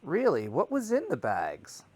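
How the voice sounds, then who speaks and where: Guy doing a radio host taking calls, short replies, lively pitch. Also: unhurried, crowd noise. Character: radio call-in host named Taylor.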